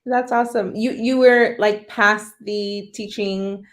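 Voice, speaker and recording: A woman's voice: medium pitch, steady tone, slow pace. A woman hosting a casual live online interview, introducing things and prompting her guest conversationally. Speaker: host, nonprofit deputy director.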